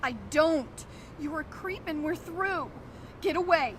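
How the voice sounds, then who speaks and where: An actress playing a frightened but defiant young woman facing a stalker, shrill and panicked, in a video game drama. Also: slow pace, very noisy. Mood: alarmed, defiant. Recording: loud music over the voice.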